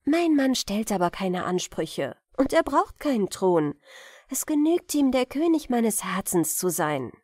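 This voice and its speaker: A woman's voice: a little high, sing-song, a little slow, a little mellow, slightly rough, dry recording, clean studio sound, exaggerated voice. A woman voicing a kind, forthright young peasant woman in a fairy-tale audiobook, eager and plain-spoken. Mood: cheerful, proud.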